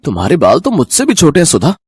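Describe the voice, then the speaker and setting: A man's voice: a little high, huge pitch swings, quick pace, a little mellow, slight background noise. A man playing a shallow, cruel young husband who jeers with contempt, in a cartoon moral story. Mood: mocking. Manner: jeering, contemptuous.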